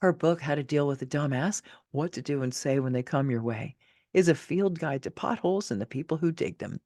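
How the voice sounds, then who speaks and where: A woman's voice: low voice, brisk, mellow, dry recording, studio quality. A middle-aged woman reading her own personal essay in an emphatic, theatrical, motivational way. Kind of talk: expressive scripted narration of a personal essay.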